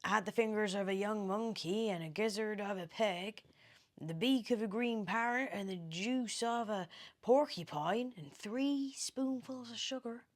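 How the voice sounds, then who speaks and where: A British woman voicing a mysterious, excitable old man in a storybook, speaking in a theatrical, commanding, drawn-out way. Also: medium pitch, pitched above the performer's own voice, close to an everyday voice. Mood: excited, gleeful. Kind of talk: animated storybook character reading.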